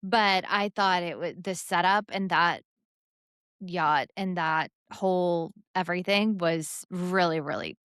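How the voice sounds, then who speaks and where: A woman's voice: lowish voice, slow, a bit bright, clean tone, dry recording, clean studio sound. A young woman co-hosting a podcast, chatting casually and enthusiastically about sports and lifestyle. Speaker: podcast co-host.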